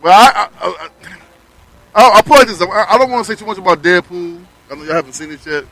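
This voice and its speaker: A man's voice: high voice, fast talker, pushed and bright, low-quality recording. A man co-hosting a radio show, chatting casually with stammering restarts.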